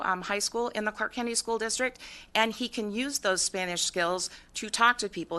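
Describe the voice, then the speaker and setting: A woman testifies as a parent before lawmakers. Her voice is bright and forceful. She speaks earnestly and from personal experience. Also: mid-range voice. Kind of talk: formal public testimony. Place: legislative committee hearing room.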